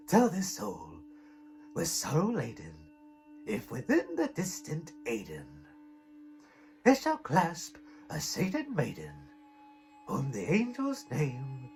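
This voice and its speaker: Casting: brooding horror-poem narrator, very slow, extremely animated pitch swings, theatrical. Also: male voice, exaggerated voice. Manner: theatrical, wildly swinging delivery. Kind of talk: dramatic poetry recitation in impersonated character voice.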